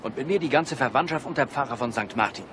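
A man's voice: medium pitch, lively intonation, fast talker, natural voice. An actor playing an irritable, brusque construction foreman in a German radio crime play. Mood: irritated. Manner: brusque, impatient. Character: gruff, irritable male construction site foreman. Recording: noisy outdoor urban ambience behind.

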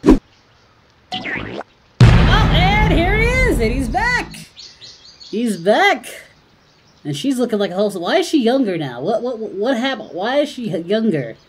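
A woman hosts a reaction video with casual, off-the-cuff commentary. Her pitch moves around in an animated way, and she tends to exclaim and say phrases over again. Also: a little slow, long pauses, noisy background. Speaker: host of a reaction channel.

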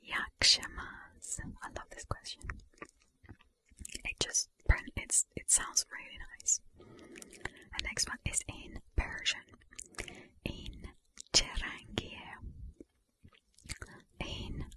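A woman speaking in a soft, unvoiced ASMR whisper, enunciating slowly and deliberately and often repeating phrases. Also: rough recording. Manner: slow, deliberate enunciation with repetition. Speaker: ASMR video creator.

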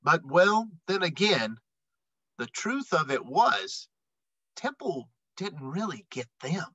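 Bright-voiced man reading picture books, very animated. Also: high-pitched, breathy edge, no echo. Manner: emphatic, dramatic phrasing with deliberate pauses. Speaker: read-aloud storyteller. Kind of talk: expressive children's picture book read-aloud.

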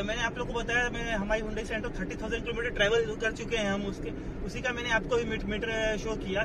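A young man, a vlogger, chatting casually about his trips while driving, slipping English phrases in with hesitant fillers. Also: sits high. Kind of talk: casual travel vlog chat. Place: inside a moving car, then outdoors at a fort. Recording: noisy road and car background.

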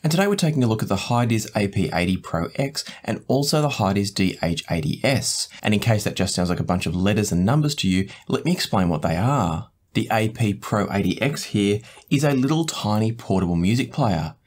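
A man, hosting a product review in a measured, explanatory way. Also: on the low side, animated. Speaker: host, audio gear reviewer. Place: small indoor home studio room.

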